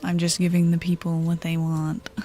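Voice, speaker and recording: A woman with a deep voice streaming ASMR, soft-spoken and whispering, giggly and playful as she chats. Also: completely flat delivery, measured pace, soft background music.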